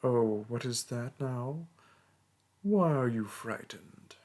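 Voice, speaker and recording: A man playing a vain, haughty mage king in a theatrical audio roleplay, speaking with condescending pomp and a breathy voice. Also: mid-range voice, animated, slow, a little mellow. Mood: haughty, mock-gracious. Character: pompous, vain mage king, haughty toward his peasant subject. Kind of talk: theatrical roleplay monologue and storytelling.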